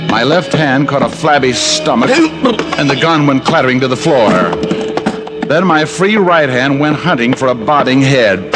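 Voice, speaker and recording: A man's voice: mid-range voice, close to an everyday voice. An actor playing a dry, wisecracking private detective who narrates his own case, in 1950s radio drama style. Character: wisecracking male private eye. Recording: old radio recording with loud music.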